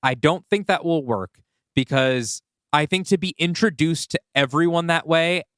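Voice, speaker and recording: Man, slightly higher-pitched than middle, podcast co-host, casual, explanatory, brainstorming aloud, dry room. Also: moderate pace, a bit bright, dry recording. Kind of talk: casual collaborative discussion. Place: indoors, two people seated across from each other.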